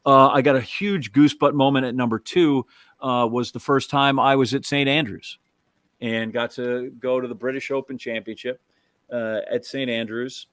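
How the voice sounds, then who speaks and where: An American man co-hosting a sports show, telling stories in a casual, halting way and often interrupting himself. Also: mid-range voice, steady tone, a bit bright, no room sound. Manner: conversational, halting, self-interrupting storytelling.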